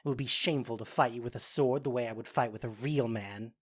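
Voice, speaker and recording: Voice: medium pitch, quick pace, close to an everyday voice. An actor playing a mischievous goblin sprite in an audio drama, theatrical and playful in delivery. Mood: taunting. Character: Puck, mischievous goblin sprite serving the fairy lord. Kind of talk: audio drama acting of modernized Shakespeare.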